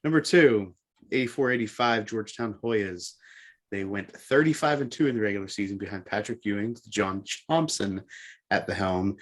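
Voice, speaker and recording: Man, low voice, sports podcast co-host, casual countdown commentary.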